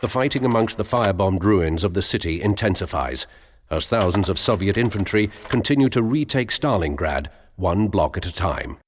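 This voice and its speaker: A man narrating military history in a measured, grave, formal documentary style for a game. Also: low voice, lively intonation, slight background noise. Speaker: narrator of war history briefings.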